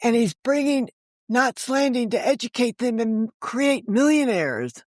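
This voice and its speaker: A woman's voice: fairly high pitch, expressive, measured pace, dry recording, studio quality. An older woman talking as an interview guest, telling stories conversationally and correcting herself as she explains. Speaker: guest, author and editor.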